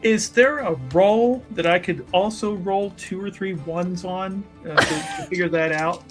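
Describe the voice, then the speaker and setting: A man with a fairly high voice plays a tabletop game. He narrates casually and wryly, improvising as he goes. Soft music is audible behind him. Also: unhurried. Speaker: tabletop roleplaying player.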